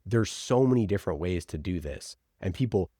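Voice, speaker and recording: A man's voice: sits low, animated, brisk, mellow, no echo, studio quality. A man giving conversational marketing advice as an interview guest, informal, opinionated and emphatic, often voicing imagined quotes. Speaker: podcast producer, interview guest.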